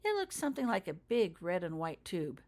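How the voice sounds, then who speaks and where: A woman's voice: on the low side, big pitch swings, normal speed, darkish tone. A woman voicing an earnest, probing schoolgirl witch in a storybook reading, with careful, hesitant questions. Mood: curious, friendly.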